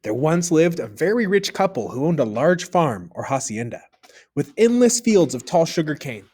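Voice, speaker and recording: Animated male narrator for a read-aloud fable, bright and lively melody. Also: normal speed, husky. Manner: expressive, dropping low for narration tags. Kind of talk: children's story read-aloud.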